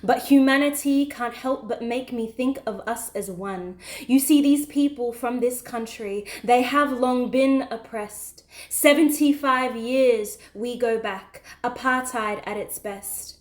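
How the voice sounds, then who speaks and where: Spoken word poet, female, high-pitched, rhythmic and emphatic, roomy echoing sound. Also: slow, hardly any pauses. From Western Australia. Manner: rhythmic, rhyming, impassioned and emphatic delivery. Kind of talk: spoken word poetry recital.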